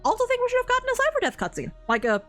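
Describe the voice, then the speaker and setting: A woman's voice: very high voice, huge pitch swings, racing, fairly bright tone, soft background music. A woman chatting casually as an enthusiastic fan, effusive and sweary, often stammering over repeated words. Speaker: streamer co-hosting a video game story ranking. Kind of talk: casual animated fan discussion.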